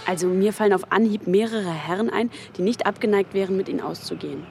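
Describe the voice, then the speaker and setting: An actress playing a caring, practical woman who talks in a gently persuasive way in a radio drama. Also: medium pitch, quick pace, gravelly, loud music behind the voice, close to an everyday voice. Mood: encouraging. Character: caring, sociable, practical-minded female confidante. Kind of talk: German radio crime drama acting.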